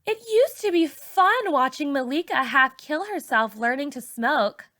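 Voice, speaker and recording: A woman's voice: high-pitched, expressive, unhurried, pushed and bright, dry recording, studio quality, voice pushed up, full character voice. A woman voicing a catty teenage girl who teases and sneers at a classmate. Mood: snide. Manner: snide, teasing.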